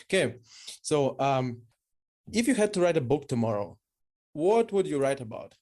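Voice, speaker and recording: Podcast host from Belarus, brief questions. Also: male voice, a little high, sing-song, normal speed, darkish tone. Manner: brief, encouraging interjections. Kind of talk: interview questions.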